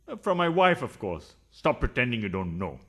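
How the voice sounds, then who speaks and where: A man plays a philandering husband in a radio farce. His rough, husky voice is mid-pitched but jumps about widely. He blusters and accuses, suspicious and tight-fisted. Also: sing-song, normal speed, fairly bright tone, exaggerated voice. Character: blustering, suspicious, stingy male adulterous husband. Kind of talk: farcical radio play acting.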